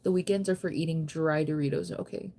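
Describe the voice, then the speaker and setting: A woman's voice: low voice, fairly even intonation, brisk, gravelly, dark tone, slight background noise. An American woman in her thirties chatting casually on a livestream, rambling and conversational with a playful, self-teasing manner. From United States. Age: 30s. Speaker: live streamer. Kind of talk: casual late-night livestream chat, reading and answering viewer comments.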